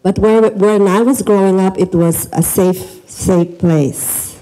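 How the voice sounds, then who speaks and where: A woman's voice: mid-range voice, fairly even intonation, slow, mellow, poor audio. A middle-aged woman with a Filipino accent, giving an informal welcome speech into a handheld microphone as an event host in a school library. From the Philippines.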